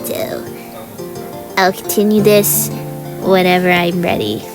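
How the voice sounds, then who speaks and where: A woman's voice: fairly low pitch, level tone, slow pace. A woman with a sick, sore-throated voice talks casually through a video game. She stops and restarts her sentences often, with plenty of ums. Game music keeps playing underneath her commentary. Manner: hesitant, with many ums and trailing restarts. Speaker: gaming channel host. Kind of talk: casual let's-play commentary.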